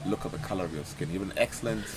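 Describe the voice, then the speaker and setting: Man, low pitch, interviewer, affirming, noisy recording. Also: very fast. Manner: frequent backchannel affirmations. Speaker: podcast host. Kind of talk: podcast interview. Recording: audible background noise.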